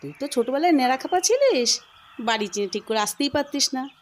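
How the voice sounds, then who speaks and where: A woman's voice: high-pitched, animated, fast, a little hiss or hum. A woman playing an indulgent, teasing old grandmother in an audio story drama.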